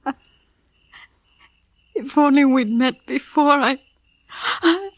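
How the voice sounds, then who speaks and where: An actress playing a guilty, distraught woman who speaks haltingly and pleadingly in 1940s radio melodrama style. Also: high voice. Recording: muffled old 1940s radio recording.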